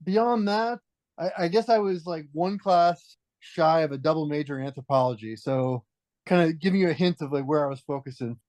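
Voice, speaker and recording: A man's voice: a little high, moderate pace, darkish tone, no room sound. A dry, hesitant-sounding male podcast guest. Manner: hesitant, restarts phrases often. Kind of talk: reflective conversational storytelling.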